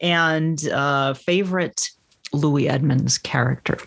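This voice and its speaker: A man's voice: on the high side, slow, creaky, a bit bright. A man hosting a podcast in a casual conversational interview style. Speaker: podcast host.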